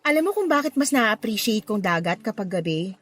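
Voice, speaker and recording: A woman playing a cheerful, friendly young provincial woman in a Tagalog radio drama, playful and expressive. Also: mid-range voice, brisk, slightly rough. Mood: playful, warm. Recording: music under the voice.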